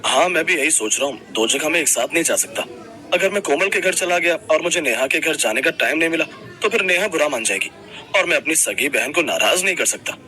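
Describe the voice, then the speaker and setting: A man's voice: fairly high pitch, animated, brisk, bright, forceful tone. A man voicing an earnest, fretful young brother and husband in a cartoon family story. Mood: matter-of-fact.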